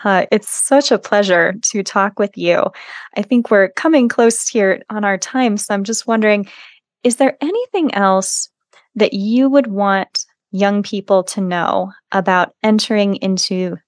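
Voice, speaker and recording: A woman hosting a podcast interview, affirming her guest and asking measured questions. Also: medium pitch, no echo, clean studio sound. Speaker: podcast host.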